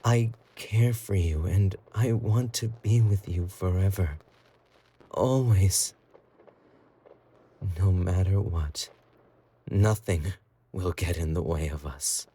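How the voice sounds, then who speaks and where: A man playing an obsessive, possessive boyfriend in a romantic roleplay audio drama, doting yet menacing, with a breathy, intimate, cooing delivery. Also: low voice, takes it slowly, long pauses, mellow, full character voice. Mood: devoted, obsessive.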